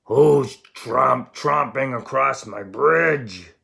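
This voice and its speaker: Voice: medium pitch, extremely slow, creaky, pushed and bright, pitched above the performer's own voice, exaggerated voice. Someone putting on a roaring, greedy old troll. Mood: roaring, threatening. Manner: roaring threats, muttering when scheming. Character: hideous, stinky old male bridge troll, greedy bully.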